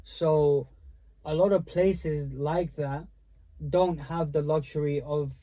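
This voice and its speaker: A young man hosts a podcast in loose, slangy banter and swears casually. His voice sits a little high yet sounds warm and mellow, and the tone stays easy throughout. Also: steady tone, takes it slowly. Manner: laid-back slangy banter, swearing freely. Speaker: podcast host.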